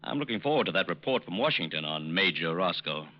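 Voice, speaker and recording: An actor playing a sober, shrewd federal agent with a clipped, businesslike manner, 1940s radio drama style. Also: male voice, low-pitched, very animated, quick pace, loud music behind the voice. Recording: dull, noisy old radio recording.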